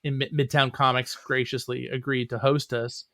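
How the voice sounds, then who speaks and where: Wry man with a bright, forceful voice. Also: mid-range voice, flat delivery, moderate pace, dry recording, studio quality. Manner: wry, joking. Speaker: comics writer and playwright, interview guest. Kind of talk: casual interview chat.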